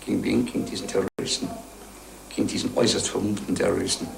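A man giving terse, measured answers to an interviewer, sometimes repeating the question. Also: fairly low pitch, level tone, creaky. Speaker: dentist. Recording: echoey, slightly noisy film soundtrack.

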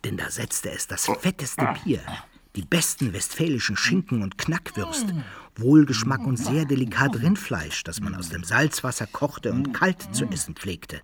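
An actor playing a boastful adventurer who tells his own exploits with relish, in a husky voice, as radio drama narration. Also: medium pitch, measured pace. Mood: savouring. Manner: savouring, expressive.

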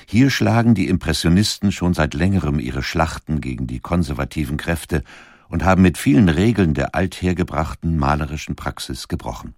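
A man reading scripted documentary narration in a measured, factual way. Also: low voice, a little mellow, no room sound, clean studio sound.

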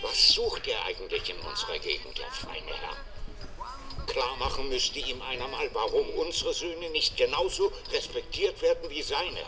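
An actor playing a mafia boss's resentful brother in a radio crime drama, grumbling with bitter sarcasm. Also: male voice, mid-range voice, expressive, moderate pace, music in the background, natural voice. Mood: bitter, sarcastic.

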